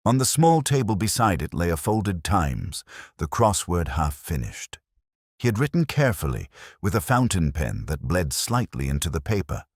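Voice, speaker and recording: Very deep-voiced man narrating a detective story. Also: no room sound, studio quality. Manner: measured, literary, reflective. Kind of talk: scripted first-person detective story narration.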